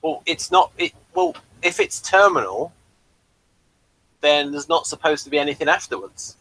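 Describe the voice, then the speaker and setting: Male review co-host, very lively intonation, bright tone, explanatory and conversational. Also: fairly high pitch, normal speed, vocal fry, rough recording. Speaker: podcast co-host reviewing a TV show. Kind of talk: casual review chat.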